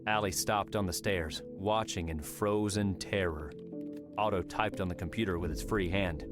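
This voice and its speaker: A man narrating an audiobook in a steady, measured storytelling voice. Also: deep voice. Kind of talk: scripted narration. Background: music.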